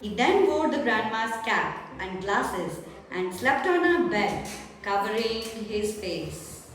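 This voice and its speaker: A woman's voice: medium pitch, sing-song, slow pace, fairly bright tone. Woman narrating in an echoey room. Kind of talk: scripted children's story narration. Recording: echoey room with faint music.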